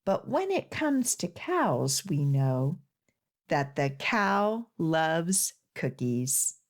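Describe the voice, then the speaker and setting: A woman hosting a children's storytime as a librarian, reading aloud in a sing-song, deliberate way for young listeners. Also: low voice, very animated.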